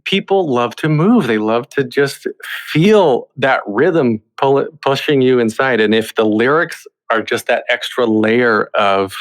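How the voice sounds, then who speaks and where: Man, podcast host, medium pitch, conversational interviewing. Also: measured pace, few pauses.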